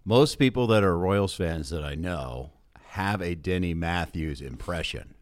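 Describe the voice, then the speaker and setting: A man's voice: low voice, animated, a little slow. A man hosting a casual sports interview in a playful, teasing, informal way. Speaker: podcast host.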